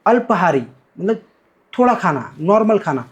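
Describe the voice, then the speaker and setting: A man from Bihar with a voice pitched a little above most men's, talking as a guest teacher. He explains things eagerly, breaking each idea down, and puts real emphasis on his points. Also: very animated, moderate pace. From Bihar, India. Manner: explains by breaking down verses, switches between Hindi and Marathi. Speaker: guest teacher, academy cofounder. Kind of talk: podcast conversation.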